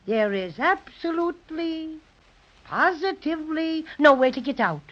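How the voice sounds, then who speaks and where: A little toy bear from a 1930s children's radio serial. The voice is high, plucky and protective. It comes through an old, muffled recording. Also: female voice, expressive, unhurried, loud music behind the voice. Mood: grave, earnest.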